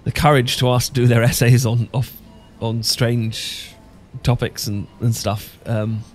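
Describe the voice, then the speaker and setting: A man's voice: medium pitch, flat delivery, measured pace, soft background music, natural voice. A man with a British accent playing an awkward, sentimental English professor in a romantic ASMR roleplay, stammering and hesitant. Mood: nostalgic, admiring. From Britain. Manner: stammering, hesitant, full of false starts. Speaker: audio roleplay performer.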